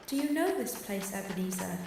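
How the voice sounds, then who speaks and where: A woman's voice: mid-range voice, expressive, brisk, creaky, background noise. Heavily echoing female spirit, played by an actress. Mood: probing. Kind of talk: audio drama acting. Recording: heavy echo on the voice.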